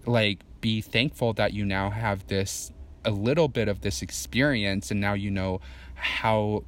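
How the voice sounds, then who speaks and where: A man's voice: on the low side, steady tone, unhurried. Blunt young man, casual chat. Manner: blunt, swears freely, laughs often. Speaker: podcast co-host.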